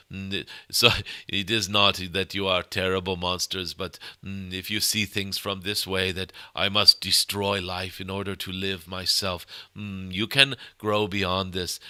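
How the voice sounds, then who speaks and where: Man channeling a bright, low, halting dragon sage. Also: clear, resonant tone, dry recording, studio quality, exaggerated voice. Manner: solemn, halting, punctuated by humming mm sounds. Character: ancient benevolent cosmic dragon, channeled spirit teacher. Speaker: channeler giving spiritual messages. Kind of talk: channeled spiritual discourse.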